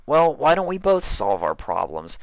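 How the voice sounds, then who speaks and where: A man's voice: mid-range voice, huge pitch swings, normal speed, creaky, no echo, pitched above the performer's own voice. A man voicing an earnest young baby dragon boy in a fanfiction story, worried and plain-spoken. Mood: inquiring.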